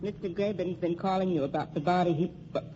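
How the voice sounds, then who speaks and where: An actress playing a tough, guarded nightclub singer, with an American accent and curt, slangy, defiant speech in old-time radio drama style. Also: female voice, fairly low pitch, fast, lightly acted. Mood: wary. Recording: dull, noisy old radio recording.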